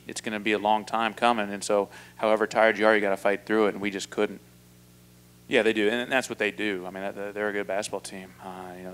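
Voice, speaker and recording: Male coach, 40s, postgame presser, table mic, low-ish voice with little pitch movement, halting. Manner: measured, flat delivery with many fillers and restarts. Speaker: basketball head coach. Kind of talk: postgame press conference answers. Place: indoor press room. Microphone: table mic in front.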